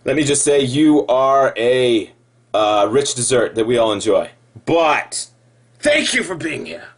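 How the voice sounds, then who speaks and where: A man delivering an improvised sarcastic rant in an exasperated, mocking way, halting and repeating himself, with profanity. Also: mid-range voice, big pitch swings, husky. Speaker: video commentator.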